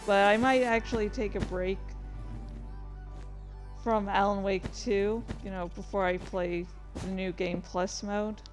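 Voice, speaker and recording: Woman, livestream host, unhurried pace, casual rambling chat, playful, prone to tangents, faint background music. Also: mid-range voice, fairly even intonation, low-quality recording. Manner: chatty, easily sidetracked, playful exclamations.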